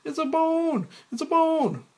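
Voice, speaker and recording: A man voicing excited children shouting in a high voice during a screenplay read-through. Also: unhurried, full character voice. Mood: shrieking excitement. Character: crowd of shrieking, excited children.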